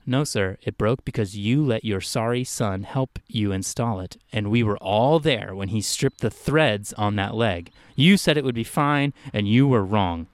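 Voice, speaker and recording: A man's voice: on the low side, measured pace, few pauses, no echo, close to an everyday voice. A man voicing a nervous, defensive employee who protests earnestly and insistently in a spoken short story. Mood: indignant.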